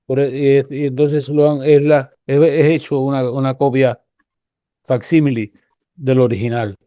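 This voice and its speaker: Older Cuban man, mellow halting storyteller. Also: mid-range voice, steady tone, no echo, clean studio sound. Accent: Cuban Spanish. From Cuba. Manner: rambling, halting with many restarts. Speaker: guest researcher. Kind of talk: casual conversational storytelling.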